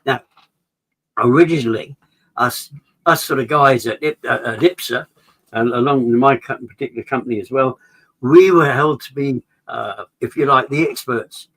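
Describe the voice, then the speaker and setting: A man's voice: mid-range voice, normal speed, a bit bright, low-quality recording. A man talking at length in a conversational way, rambling with many restarts and "sort of" fillers, as the chair of an association. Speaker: association chair, regular guest. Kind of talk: conversational explanation.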